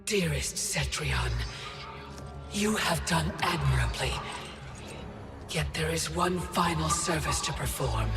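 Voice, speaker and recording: An actress playing an imperious elder goddess in a video game, speaking in a stately, commanding way. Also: female voice, low voice, lively intonation, takes it slowly, gravelly, long pauses, full character voice. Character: imperious female elder goddess, calm, grandiose villain bent on remaking history. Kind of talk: dramatic video game villain acting. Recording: loud music behind the voice.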